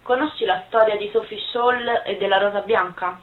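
A woman's voice: medium pitch, fairly even intonation, moderate pace. A woman interviewer putting short, direct questions one after another. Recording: thin phone-like sound.

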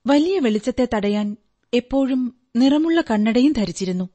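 Give the part to a devoted, trusting young bride-to-be in a radio play. Her voice sits fairly high, and she gives a polite, earnest, detailed account. Faint music runs behind her. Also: lively intonation, normal speed, warm tone. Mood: fond, earnest. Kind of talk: radio drama acting. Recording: radio drama with background music.

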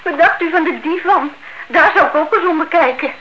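A woman's voice: very high-pitched, fairly quick. An actress playing a sensible, sarcastic younger woman in a radio drama, impatient, mocking and bossy. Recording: muffled, very noisy old radio recording.

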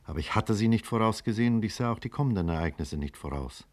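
An actor playing a grave, cautious physician in a 1950s radio drama, speaking in measured, deliberate words. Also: male voice, on the low side, lively intonation. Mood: somber narration. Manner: measured, deliberate, careful wording. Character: grave, cautious male physician narrating a disaster. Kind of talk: 1950s German radio drama acting and narration. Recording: 1950s radio play with music.

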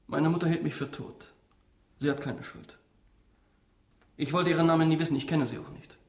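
A male actor plays a brazen young man on trial in a radio drama. His voice is on the high side, self-assured and provocative, ringing in an echoey room. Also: steady tone, normal speed, darkish tone, lightly acted. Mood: coolly narrating. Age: young adult. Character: brazen young defendant, defiant illegitimate son. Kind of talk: German radio drama acting. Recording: roomy, reverberant courtroom sound.

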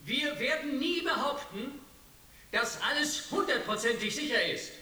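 An actor playing a defensive spokesman in a radio play, measured and conceding, in a hissy, echoey recording. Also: very high voice, animated, pushed and bright, exaggerated voice. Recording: hissy, echoey, distant microphone.

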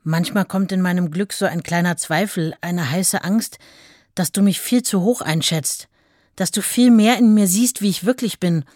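A woman's voice: fairly low pitch, normal speed, darkish tone, no echo, clean studio sound, natural voice. A woman playing a devoted, anxious wife in a radio play, tender, earnest and reflective. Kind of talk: radio play letter and recollection. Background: music behind the voice.

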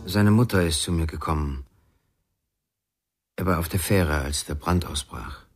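Radio crime drama: terse male investigator, very low husky voice, guarded and questioning. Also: measured pace, faint music behind. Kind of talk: 1990s German radio crime drama acting.

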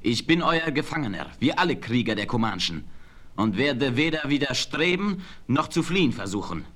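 A man's voice: mid-range voice, very animated, moderate pace, fairly bright tone. A man playing a proud, brave young Comanche chief, solemn and declamatory, in a German radio play.

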